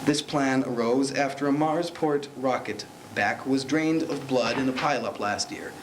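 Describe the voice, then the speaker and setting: A man's voice: medium pitch, a little slow, natural voice. Man playing a hapless sports correspondent, noisy recording. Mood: earnest, grave. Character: hapless sports correspondent reporting nervously from hiding. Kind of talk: parody field news report. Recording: noisy amateur 1970s recording.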